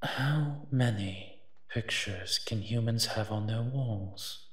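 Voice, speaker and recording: A man voicing a grumbling, reluctant invisible monster with a secret soft heart in an audio roleplay, dry and sardonic. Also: on the low side, takes it slowly. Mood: disdainful, musing. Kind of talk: scripted character monologue, audio roleplay.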